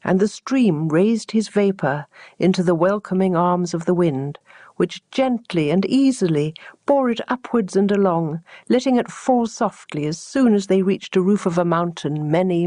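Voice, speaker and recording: A woman narrating a traditional teaching tale as a storyteller, with measured, deliberate phrasing. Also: fairly low pitch, mellow, no echo, studio quality.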